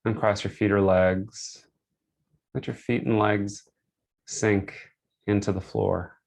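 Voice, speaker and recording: A man with a fairly low voice and a clearly gravelly, creaky edge leads a guided meditation. He gives soothing instructions in gentle, short phrases. Pauses separate them, so nothing sounds hurried. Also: flat delivery.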